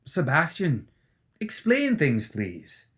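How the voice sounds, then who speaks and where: A man's voice: on the high side, huge pitch swings, slow pace, a bit bright, pitched above the performer's own voice, heavily acted. A man voicing a jumpy, wild-eyed doctor and necromancer in an audiobook, with an anxious, agitated manner. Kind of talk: audiobook character acting.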